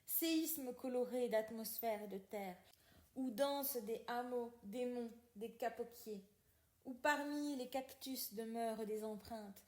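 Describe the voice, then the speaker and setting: High-voiced woman reading poetry, echoey room. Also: unhurried. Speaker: podcast reader. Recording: roomy, echoing sound.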